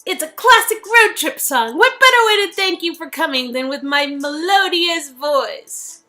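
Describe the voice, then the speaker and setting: Woman acting a bright, high, bubbly young woman. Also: expressive, unhurried, soft background music, exaggerated voice. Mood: bubbly, teasing. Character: playful, anxious, affectionate young woman, story-game friend.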